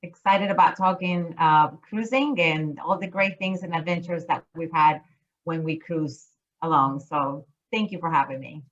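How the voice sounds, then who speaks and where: A woman's voice: sits low, normal speed, a bit bright, dull audio. A middle-aged woman from Chile, a cruise line business development manager, talking enthusiastically with many restarts in a casual interview. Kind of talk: casual interview chat over video call. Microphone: video call.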